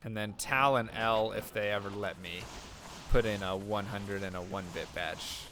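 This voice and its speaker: A man's voice: on the low side, steady tone, normal speed, background noise. A young man streaming a video game, casually chatting and answering viewers' questions aloud, saying he is losing his voice. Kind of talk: casual chat while playing, answering viewer chat.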